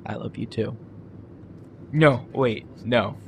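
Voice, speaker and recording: A man's voice: mid-range voice, slow, husky. A man playing a sullen, sarcastic, foul-mouthed teenage boy in an audio drama. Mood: irritated.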